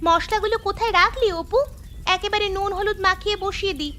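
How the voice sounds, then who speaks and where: A woman voicing a bold, bossy young village girl in an animated folk tale, speaking with cheeky exclamations. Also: very high-pitched, fast talker, a little hiss or hum. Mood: busy, chatty. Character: bold, bossy village girl leading her friends' secret picnic.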